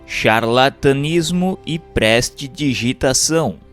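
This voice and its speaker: A Brazilian man narrates a formal written text in a mid-range voice. His reading is measured and scripted, like a chapter of a treatise read aloud. Music plays behind him. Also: medium pitch, a bit bright. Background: background music.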